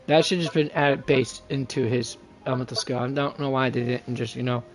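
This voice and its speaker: A man's voice: medium pitch, fairly even intonation, a little fast, music in the background. A man doing casual game livestream commentary, rambling and thinking aloud to his viewers. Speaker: game streamer.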